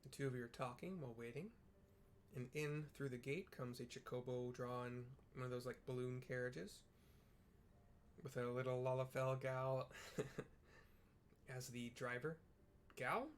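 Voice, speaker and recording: Casting: male gameplay narrator, mid-pitched, offhand and halting, faint background noise. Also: steady tone. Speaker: gaming video host. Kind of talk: casual play-by-play with scene description.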